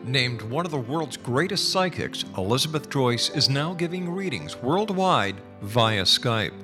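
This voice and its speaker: A man's voice: medium pitch, very animated, a little slow, over loud music. A man hosting a radio show, speaking in an emphatic, showman-like announcer style.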